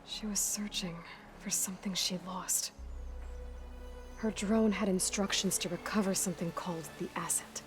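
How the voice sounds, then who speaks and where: A woman's voice: mid-range voice, fairly even intonation, moderate pace, music in the background, lightly acted. An actress voicing a determined young woman hunter in a video game cutscene, speaking in a focused, decisive and analytical way.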